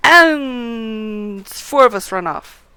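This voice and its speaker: A woman's voice: fairly high pitch, animated, drawn-out words, sharp tone, clean tone, dry recording. A woman giving casual live gameplay commentary in short bursts, muttering to herself and at the enemies. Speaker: game streamer.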